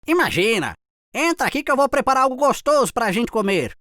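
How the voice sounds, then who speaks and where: High-pitched humble country mouse. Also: female voice, big pitch swings, a little fast. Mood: friendly, eager. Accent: Brazilian. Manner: friendly, earnest, exclamatory. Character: humble, friendly male country mouse, timid and peace-loving. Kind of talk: children's cartoon fable dubbing.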